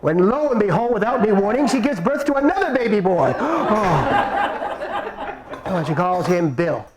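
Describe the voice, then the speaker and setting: Playful high-voiced male storyteller. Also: big pitch swings, normal speed, heavy background noise. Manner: playful, repeating phrases for effect. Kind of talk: live folktale telling. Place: stage before a live audience.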